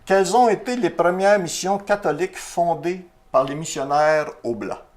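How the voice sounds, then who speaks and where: A man's voice: high-pitched, normal speed. A middle-aged man with a Québécois French accent, hosting a TV quiz show in a chatty, jokey, self-deprecating way. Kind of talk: casual game show hosting.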